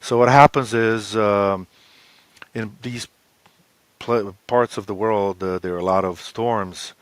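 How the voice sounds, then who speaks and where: A man giving an explanatory interview as a guest, speaking in halting, hesitant phrases. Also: on the low side, steady tone, dry recording. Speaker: expedition co-leader, interview guest.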